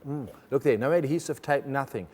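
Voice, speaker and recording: A man's voice: mid-range voice, a little mellow, big-room sound. A man whose first language is German, hosting a TV magic show with joking, chatty patter in a studio. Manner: chatty, jokey, with quick laughs. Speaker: TV magician host. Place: TV studio with audience.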